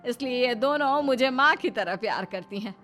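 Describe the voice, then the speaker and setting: A woman's voice: fairly high pitch, lively intonation, moderate pace, a bit bright, soft background music. A woman playing an older mother-in-law in a family story, caring but firm and admonishing. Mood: affectionate. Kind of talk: dramatised Hindi family story.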